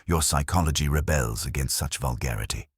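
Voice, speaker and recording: A man playing a shrewd, courteous Belgian detective in an audiobook, speaking in formal, measured, theatrical deductions. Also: rumbling low, slightly rough, no echo, studio quality, pitched below the performer's own voice. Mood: gentle, deductive.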